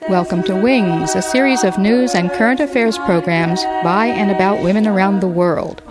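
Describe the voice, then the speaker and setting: Woman show announcer, formal opening over loud music. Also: medium pitch, normal speed. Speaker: program announcer. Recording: loud music bed behind.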